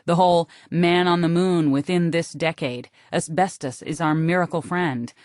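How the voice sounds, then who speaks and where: A woman's voice: lowish voice, steady tone, moderate pace, a little mellow, no echo. American woman narrating, dry close studio sound. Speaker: audiobook narrator. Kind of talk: scripted literary narration in first person.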